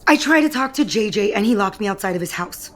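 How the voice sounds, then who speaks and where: Cutting, defiant soap opera wife. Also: female voice, medium pitch, animated, quick pace, a bit bright. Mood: biting sarcasm. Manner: sarcastic, cutting. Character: defiant, biting, scornful wife. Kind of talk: audio soap opera acting.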